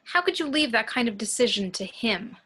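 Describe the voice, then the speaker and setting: A woman's voice: fairly high pitch, lively intonation, a little fast, pushed and bright. A woman reading a wary, challenging spaceship officer in an amateur table read, direct and questioning.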